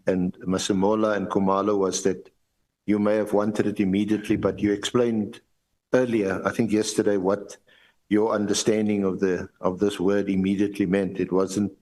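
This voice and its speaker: A South African man questioning a witness formally as evidence leader at a hearing, with halting, repetitive phrasing and lawyerly deference. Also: on the low side, darkish tone, rough recording.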